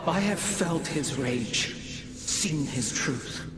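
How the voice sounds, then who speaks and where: An actor voicing a proud, suffering, devout alien in a video game, solemn, halting and defiant. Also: male voice, on the high side, lively intonation. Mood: pained, resolute. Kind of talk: dramatic video game cutscene acting.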